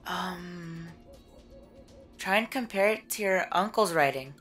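A woman's voice: lowish voice, takes it slowly, fairly bright tone, faint music behind. A young woman playing a casual, concerned college friend in an audio drama.

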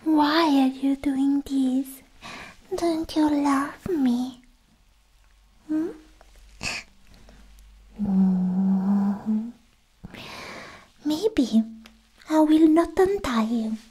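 A young woman playing a sweetly possessive, obsessed alien girlfriend in a whispered, coaxing ASMR roleplay, speaking close to the mic in halting phrases. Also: high-pitched, animated, mellow, exaggerated voice. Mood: mildly reproachful. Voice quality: breathy, mostly whispered. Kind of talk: soft-spoken ASMR roleplay. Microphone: close ASMR microphone.

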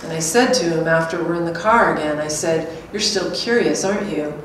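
A woman's voice: deep voice, fairly quick, lightly acted. A woman, middle-aged, reading the part of a plain-spoken, chatty companion in a staged script reading. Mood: gossipy. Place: small hard-walled gallery room, indoors. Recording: very echoey room, distant, faint noise.

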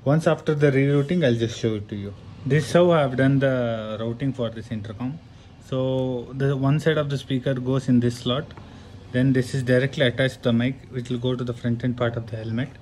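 A man's voice: medium pitch, fairly even intonation, a little fast, darkish tone. A man with an Indian accent giving a casual hands-on how-to, explaining step by step and often checking in with "right". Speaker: tutorial presenter. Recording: clear background noise and room ambience.

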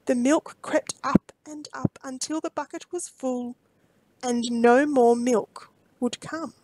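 Casting: female picture-book narrator, high pitch, unhurried, deliberate dramatic emphasis. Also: fairly even intonation, warm tone, dry recording, poor audio. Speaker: children's storybook reader. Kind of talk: expressive read-aloud storytelling.

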